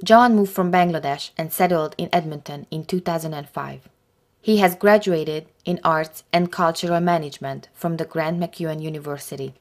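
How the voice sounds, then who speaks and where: Low woman voice, formal doc narration, steady read. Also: moderate pace, faint music behind. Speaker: documentary narrator. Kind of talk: scripted narration.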